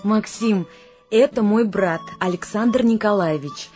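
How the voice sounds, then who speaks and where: A woman's voice: medium pitch, measured pace, dark tone, faint music behind, lightly acted. Proud young village woman played by an actress. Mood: warm, plain. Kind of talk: radio play acting.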